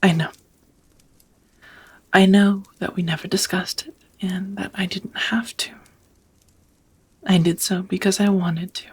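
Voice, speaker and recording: Super breathy whispery vampire lady, woman acting it for asmr, talks real slow, tender n melancholy, old-fashioned formal. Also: medium pitch, little pitch movement, darkish tone. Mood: gentle, shy. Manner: slow, hesitant, formal wording. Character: centuries-old female vampire roommate, tender, melancholy, ashamed. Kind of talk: intimate ASMR roleplay.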